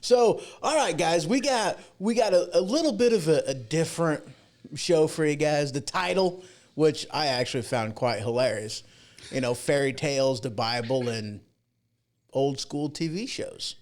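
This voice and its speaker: A man's voice: a little high, lively intonation, a little slow, darkish tone. A man hosting a podcast livestream in a casual, rambling, informal way. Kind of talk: casual chat. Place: home podcast studio.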